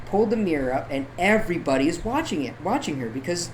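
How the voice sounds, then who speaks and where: A man's voice: fairly high pitch, expressive, fast talker, a little hiss or hum, low-quality recording. A man talking casually as an interview guest, in a rambling, easygoing, informal way. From Canada. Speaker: guest, local artist and photographer.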